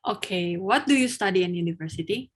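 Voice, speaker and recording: A young woman in a mock English speaking test, answering and then asking questions, often pausing with filler sounds. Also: fairly low pitch, husky. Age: young adult. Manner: hesitant, frequent filler sounds. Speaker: test candidate, then examiner.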